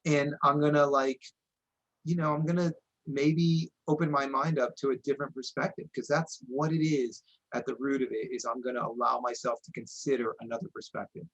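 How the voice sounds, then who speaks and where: A man's voice: medium pitch, level tone, a little fast, a bit bright, highs cut off. Earnest man in his forties. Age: 40s. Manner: earnest, reflective, frequent "you know" and restarts. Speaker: integration coach, podcast guest. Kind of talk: candid personal interview talk.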